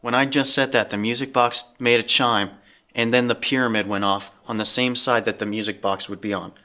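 A young man hosts a casual paranormal investigation in a cave. His voice sits at a middle pitch, and he speaks earnestly, swearing here and there. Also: steady tone, brisk, sharp tone. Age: young adult. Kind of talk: casual ghost-hunting vlog talk. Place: inside a dark cave at night.

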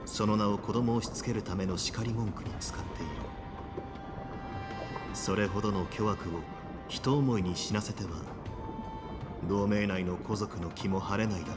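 An actor playing a composed, authoritative military official in a Japanese video game, speaking solemnly and deliberately in formal language. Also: male voice, on the low side, close to an everyday voice. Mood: grave, composed. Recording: game music and background noise. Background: game music.

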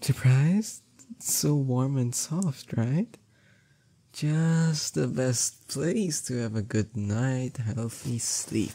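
Man acting as a slow-talking, husky, teasing boyfriend. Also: medium pitch, dark tone, dry recording, heavily acted. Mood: teasing, coaxing. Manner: teasing, coaxing, affectionate, drawn-out words. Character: tall, strong, teasing, doting boyfriend coaxing lover to sleep. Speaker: voice actor. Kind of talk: intimate roleplay audio drama.

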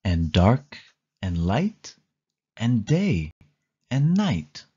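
Slow, sing-song man reading to kids. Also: fairly low pitch, slightly rough, dull audio. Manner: slow, sing-song storytelling with lively rises and falls. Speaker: storybook reader. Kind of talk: children's read-along narration.

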